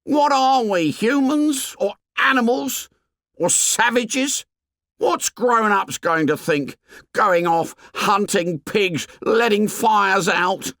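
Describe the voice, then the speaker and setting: Audiobook: squeaky, shrill fretful schoolboy who whines and pleads, pitched well up. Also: male voice, takes it slowly, fairly bright tone, dry recording, clean studio sound, full character voice. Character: shrill anxious plump schoolboy with glasses.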